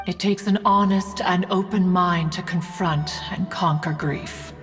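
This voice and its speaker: A woman's voice: on the low side, steady tone, a little slow, fairly bright tone, long reverb tail, soft background music. A woman voicing a dignified, aloof immortal in a video game, with formal, stately speech. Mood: composed, lofty. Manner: formal, stately, refers to herself as "one".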